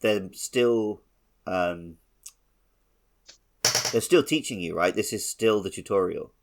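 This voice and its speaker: A man's voice: lowish voice, slow pace, background noise. A man co-hosting a podcast, talking casually about a video game and often correcting himself as he goes. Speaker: podcast co-host.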